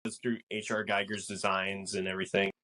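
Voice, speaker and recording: A man co-hosting a casual film discussion podcast, explaining things in a measured way. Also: low-pitched, level tone, bright, forceful tone. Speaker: podcast co-host.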